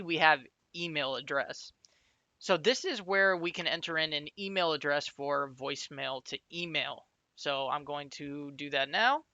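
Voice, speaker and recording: A man's voice: fairly high pitch, pushed and bright, no echo, dull audio. A man giving an informal, explanatory step-by-step screencast tutorial in a conversational way, as an instructor.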